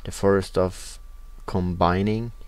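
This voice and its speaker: A man's voice: low-pitched, fairly even intonation, slow, darkish tone. A young man with a Norwegian accent casually commenting on a computer game, hesitant and full of fillers as he reads the screen. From Norway. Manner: hesitant, many uh fillers, reading in-game text aloud. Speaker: let's play host.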